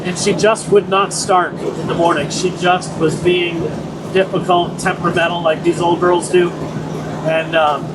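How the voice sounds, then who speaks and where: A man's voice: high voice, little pitch movement, measured pace. A man in his forties talking casually in a vlog, rambling and joking about getting older. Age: 40s. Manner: chatty, rambling, jokes about his age. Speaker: vlogger. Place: at an outdoor car show. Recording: noisy outdoor car show.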